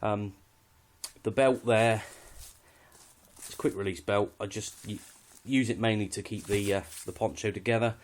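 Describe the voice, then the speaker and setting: A man's voice: lowish voice, steady tone, moderate pace. A British man giving a casual, unscripted walkthrough of his kit in a matter-of-fact, slightly hesitant way. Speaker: hobbyist presenter showing radio kit.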